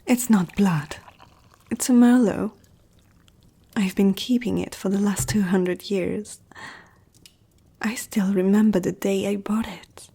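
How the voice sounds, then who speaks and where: A woman playing an ancient, powerful vampire aristocrat in an ASMR roleplay, poised, intimate and seductive, speaking in a breathy half-whisper. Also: medium pitch, fairly even intonation, slow, long pauses, warm tone, full character voice. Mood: poised, inviting.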